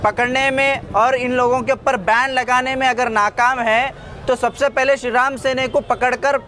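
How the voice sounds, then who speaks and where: A man from India giving an impromptu political statement to the press, in deliberate, denunciatory phrases. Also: very high-pitched, fairly even intonation, pushed and bright.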